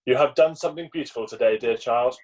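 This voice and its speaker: Casting: kindly Victorian parson played by a man, husky, solemn and formal, on dull video-call audio. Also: mid-range voice, quick pace, darkish tone, close to an everyday voice. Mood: pleased. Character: kindly, pompous Victorian country clergyman. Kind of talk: amateur play read-through.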